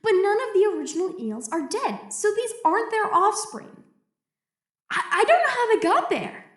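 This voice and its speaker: A woman's voice: very high-pitched, very animated, normal speed. A woman playing a frightened, isolated researcher in an audio drama, stammering and hesitating anxiously as she records a log. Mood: agitated, alarmed. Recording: echoey roomy recording.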